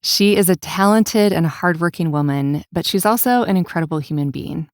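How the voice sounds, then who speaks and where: A woman speaks as the host of an interview podcast. Her voice sits a little lower than most women's. The sound is dry, with very little room on it. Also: normal speed, dry recording. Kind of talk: warm interview with scripted introduction.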